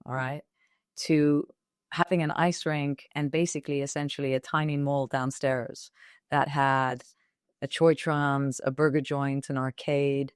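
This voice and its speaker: A woman's voice: extremely low pitch, measured pace, clear, resonant tone, no room sound, clean studio sound. A woman in her thirties, a radio presenter whose first language is Italian, chatting and telling stories as a podcast guest. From Italy. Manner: chatty, animated storyteller. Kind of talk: casual interview chat.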